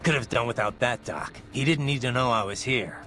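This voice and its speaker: An actor playing a grim, brooding male cyborg mercenary in a video game, with a gravelly voice and a terse, dry, sardonic way of talking. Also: mid-range voice, a little fast, a bit bright, soft background music, close to an everyday voice. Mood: irritated.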